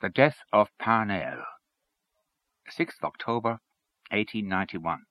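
A man voicing a solemn man who recites his own mourning poem in slow declamation, in a story reading. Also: fairly low pitch, very animated, fairly bright tone, no echo, exaggerated voice. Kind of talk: poetry recitation.

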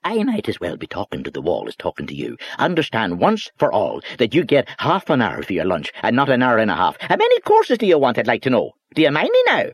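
A man voicing a shrill, bullying little male office boss with a piercing Northern Irish accent, scolding and sarcastic, in an audiobook. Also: wildly swooping pitch, brisk, few pauses, husky, full character voice. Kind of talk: audiobook character voice.

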